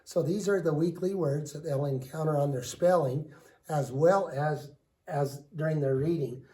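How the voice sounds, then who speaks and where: A man with a raspy voice from a lingering cold, walking through a reading lesson as a teacher, steady and explanatory with frequent ums. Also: on the high side, normal speed. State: hoarse from a cold. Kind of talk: recorded lesson walkthrough.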